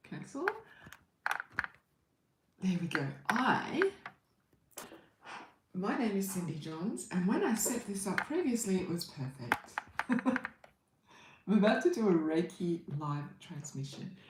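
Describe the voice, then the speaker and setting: Lively Australian woman, echoey room. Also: mid-range voice, takes it slowly, pauses often, very noisy. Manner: chatty, greets viewers by name, laughs easily. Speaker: Reiki healer and livestream host. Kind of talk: casual livestream chat greeting viewers.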